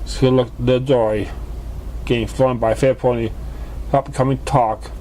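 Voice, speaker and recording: A male amateur reader narrates a story in a halting way, tripping over words as he goes. His pitch is average for a man. The recording has clear background noise. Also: steady tone, mellow. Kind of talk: scripted fan fiction narration.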